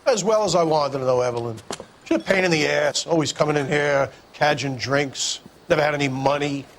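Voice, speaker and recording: An actor playing a blunt, irritable barkeeper in a 1940s crime game, dismissive in manner. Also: male voice, on the high side, fairly quick, slight background noise, lightly acted. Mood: irritated. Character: gruff, irritable male bar keeper.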